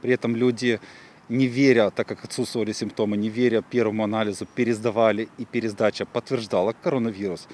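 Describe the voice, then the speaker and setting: A man's voice: lowish voice, level tone, quick pace, a little mellow. A young man giving a spontaneous opinion as an interviewed passer-by, speaking into a handheld microphone outdoors. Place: city park, outdoors. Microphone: handheld reporter microphone.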